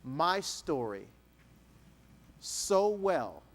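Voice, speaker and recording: Man from Texas, motivational speaker, higher voice, swooping expressive pitch, jokes with audience. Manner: animated, dramatic pauses, slow emphatic dictation. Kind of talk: keynote speech to an audience.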